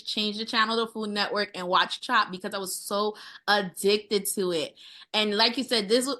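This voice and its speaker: A woman's voice: medium pitch, sharp tone. A woman in her thirties from Brooklyn with a New York accent, a chef guest talking earnestly and at length, often repeating herself. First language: Haitian Creole. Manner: earnest, rambling, repeats phrases. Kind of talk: casual interview talk.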